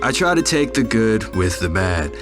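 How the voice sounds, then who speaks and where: A man plays a folksy, dutiful camp staffer with a lowish voice. He recounts events in a measured way, as if writing a diary. Music plays clearly behind this horror podcast monologue. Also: big pitch swings. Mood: reflective, wary.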